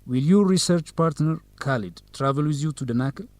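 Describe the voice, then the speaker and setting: A man's voice: fairly high pitch, brisk, a little mellow, no room sound, close to an everyday voice. A man playing an earnest, courteous young student leader in an English-learning radio drama. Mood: polite, curious. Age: young adult.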